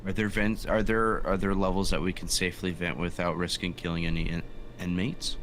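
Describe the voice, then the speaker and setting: Deep, bright-edged male voice. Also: flat delivery, fairly quick, a little hiss or hum. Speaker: tabletop game player. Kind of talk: casual table talk.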